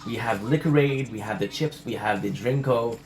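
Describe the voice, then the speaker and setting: Guy vlogging, stammers and restarts, swears a lot, normal pitch. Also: normal speed. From Montreal, Canada. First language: French. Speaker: channel host. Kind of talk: casual rambling vlog chat.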